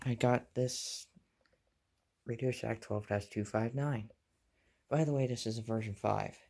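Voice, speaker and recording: A man's voice: mid-range voice, warm tone. A man giving a casual, rambling show-and-tell as a hobbyist vlogger, offhand and full of detail.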